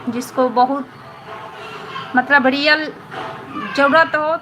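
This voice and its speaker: A woman's voice: sits high, fairly even intonation, slow pace, very noisy. A woman from Patna, chatting casually with viewers on a live stream, repeating herself and checking that they understood. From Patna, Bihar. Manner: chatty, repetitive, keeps asking "understood?". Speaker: live-stream host answering viewer comments.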